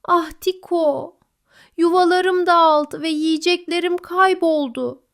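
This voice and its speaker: A woman voicing a small, sad young rabbit in a children's bedtime story, soft and pleading. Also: very high-pitched, fairly even intonation, slow, pitched above the performer's own voice, heavily acted. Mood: tearful.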